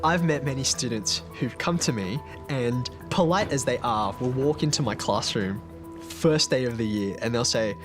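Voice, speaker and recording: A man's voice: medium pitch, moderate pace. A man, a maths teacher, talking earnestly and reflectively in a documentary interview. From Australia. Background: background music.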